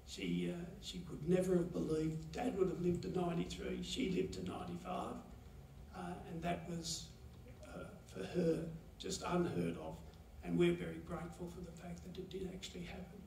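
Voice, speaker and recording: Guy in his 70s doing a eulogy, kinda gravelly, echoey chapel, far off mic. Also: high-pitched, flat delivery, dark tone, a little hiss or hum. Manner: halting, many pauses and fillers. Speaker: son giving a eulogy. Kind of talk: memorial eulogy. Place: funeral chapel, at a lectern. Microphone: lectern mic, heard from a far camera. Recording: roomy chapel echo from far off.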